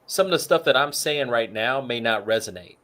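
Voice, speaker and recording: A man's voice: medium pitch, animated, fairly quick, fairly bright tone. A man co-hosting a talk show, casually walking through retirement numbers with plenty of "you know" fillers. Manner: works through figures aloud, many fillers. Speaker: co-host.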